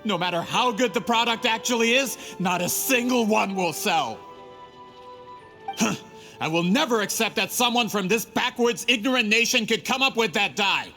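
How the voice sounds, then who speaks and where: A man's voice: high-pitched, normal speed, sharp tone. A man voicing a greedy, scheming male printing merchant in a game cutscene, smug and scornful. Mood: bitter. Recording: game audio with reverb and music.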